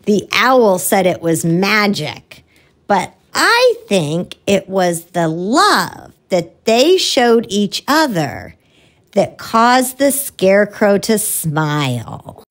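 A woman's voice: mid-range voice, very animated, drawn-out words. A woman voicing a cheerful, friendly cartoon orangutan who teaches children a Bible lesson with exaggerated enthusiasm. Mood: cheerful, earnest.

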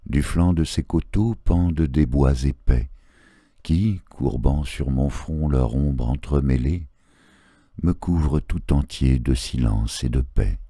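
A man reciting poetry in a solemn literary style, measured and phrase by phrase, with pauses. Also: very deep voice, dark tone, breathy edge, dry recording, clean studio sound. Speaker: poetry reader.

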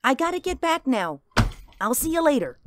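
A woman voicing an excitable, insistent little girl in a radio drama. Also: high-pitched, moderate pace, background noise, voice pushed up. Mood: defiant, hurried. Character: excitable, defiant little girl playing invasion.

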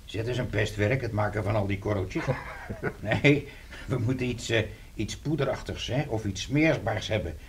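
A man's voice: on the low side, normal speed, close to an everyday voice. An actor playing a sardonic, scheming man with a drawling manner, in a radio drama. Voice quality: sardonic, drawling, full of ideas. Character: sardonic, scheming man, a friend starting a business.

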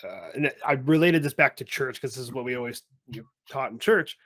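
Animated young man hosting a podcast. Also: mid-range voice, a little fast. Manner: animated storyteller, acts out voices in anecdotes. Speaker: podcast host. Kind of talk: casual interview chat. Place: home or office, video call.

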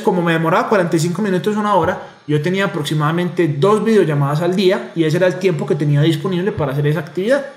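A man's voice: on the high side, reverberant. A man in his thirties explaining money to camera in an emphatic, explanatory way, often checking in with rhetorical questions, at a desk microphone in a home office. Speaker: investor and community director. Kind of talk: direct-to-camera finance lesson. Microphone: desk microphone in front.

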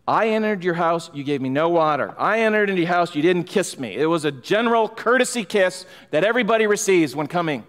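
A man's voice: high-pitched, animated, fairly quick, hardly any pauses, fairly bright tone. A middle-aged man preaching an expository sermon from a pulpit microphone, emphatic and building in fervor. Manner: emphatic, rising in fervor, gestures while preaching. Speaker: pastor. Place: church sanctuary, large room indoors. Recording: long hall reverb.

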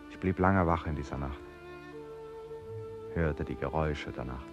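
A man's voice: normal speed, muffled recording, music in the background. An actor playing an anxious, reflective traveller who recounts his jungle journey in a literary radio play. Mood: somber, reflective. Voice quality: very low-pitched.

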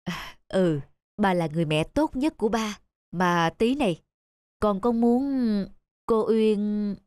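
A woman voicing an earnest, worried young father in an animated moral tale, with a soft delivery. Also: medium pitch, takes it slowly, clean tone, no room sound, natural voice. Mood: affectionate.

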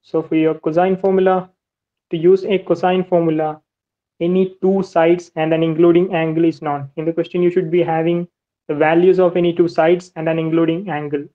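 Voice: mid-range voice, flat delivery, brisk, dull audio. A maritime navigation teacher giving a screen-recorded whiteboard lesson, explaining step by step and repeating points often. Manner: methodical, step-by-step explaining with much repetition.

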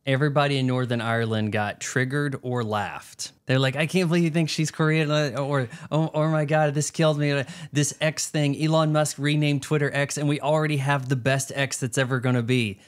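A man hosts a podcast and chats casually, telling stories with a dry, joking edge. His voice sits at an ordinary middle pitch. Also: fairly even intonation, fairly quick, hardly any pauses. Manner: joking, storytelling. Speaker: podcast host. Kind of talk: casual chat.

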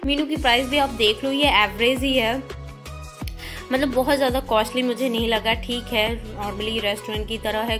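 A young woman reviewing a restaurant in a chatty voice-over, mixing English words into her Hindi. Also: fairly high pitch. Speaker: food vlogger.

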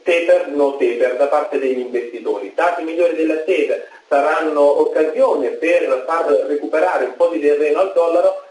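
A young man gives analytical market commentary over a remote video link. His voice sits a bit high and comes through roomy, with the echo of an office. He pauses often to find his words. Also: darkish tone. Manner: measured, analytical, with frequent hesitations. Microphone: video call. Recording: roomy video call audio.

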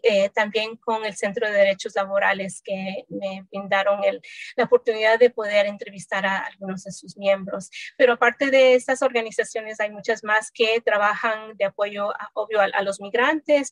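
A woman's voice: mid-range voice, dry recording. A woman in her thirties, born in El Salvador, answering interview questions on a video call in a thoughtful way, with many hesitations and restarts. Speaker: researcher guest. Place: home room.

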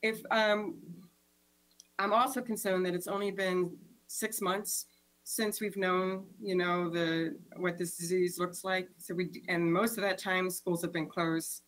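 A woman, giving an earnest, measured statement as a school board member over a video call from home. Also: lowish voice, fairly even intonation, fairly bright tone, poor audio. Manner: earnest, measured, reads from notes. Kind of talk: prepared statement at a board meeting. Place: home room, indoors.

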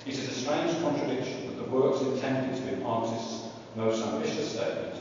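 A man, a university professor, speaking unscripted on a panel in a large meeting room, reflective and pausing to restart sentences. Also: medium pitch, a little mellow. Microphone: far room camera. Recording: echoey hall, distant, dull, noisy.

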